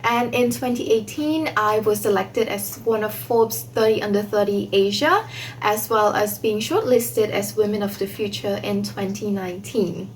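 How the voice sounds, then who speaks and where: A woman's voice: medium pitch, moderate pace, a little hiss or hum, poor audio. A young woman giving a prepared talk about her own work as an online creator. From Cambodia. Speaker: online video creator on sex education. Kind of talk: prepared self-introduction talk.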